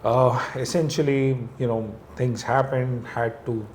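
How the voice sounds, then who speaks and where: A man from Pakistan, a real estate business owner, talking as an interview guest in a reflective, relaxed way. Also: medium pitch, unhurried, slight background noise. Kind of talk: conversational interview.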